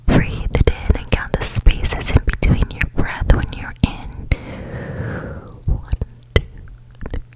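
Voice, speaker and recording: Whispering woman, slow ASMR podcast host. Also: rough recording. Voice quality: breathy whisper. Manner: slow, soothing pacing with repeated words. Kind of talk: whispered ASMR with guided meditation and rambling. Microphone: close sensitive ASMR microphone.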